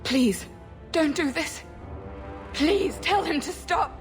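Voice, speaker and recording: A woman's voice: sits high, lively intonation, takes it slowly, soft background music. An actress playing a resentful young elf woman, a former slave, speaking earnestly and defensively. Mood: pleading.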